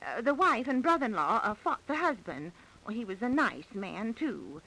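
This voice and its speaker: A vintage radio drama recording of a nosy, worried middle-aged housewife. Her voice is fairly high and swings up and down a lot. She talks in a folksy, hesitant, insistent way. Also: sing-song, moderate pace, bright, forceful tone, heavily acted, low-quality recording. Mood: anxious, gossipy. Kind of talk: 1930s radio drama acting. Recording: vintage 1930s radio broadcast.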